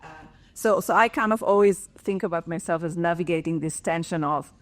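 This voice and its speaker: Woman, 40s, Israeli accent, professor on a panel; earnest, halting, lower register. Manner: earnest, frequent fillers and restarts. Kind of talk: conference panel talk.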